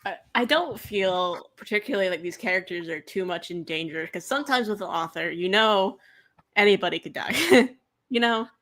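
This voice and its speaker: A woman's voice: mid-range voice, expressive, normal speed, a bit bright. A woman co-hosting a casual book discussion, speaking in an offhand, tentative way.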